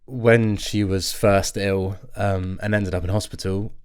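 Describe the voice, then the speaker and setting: A man acting as an anxious, worn-out young dad in a naturalistic audio play. His voice is low and slow, and he talks haltingly, with nervous little laughs and throat clearing. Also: flat delivery, natural voice. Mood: weary, exasperated. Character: weary, anxious new father in therapy.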